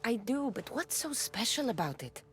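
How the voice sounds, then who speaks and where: An actress playing a blunt, questioning young warrior heroine in a video game, with a direct, wry way of talking. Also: female voice, on the high side, very animated, normal speed, a bit bright. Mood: guarded, concerned. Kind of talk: scripted video game dialogue. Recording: music behind the voice.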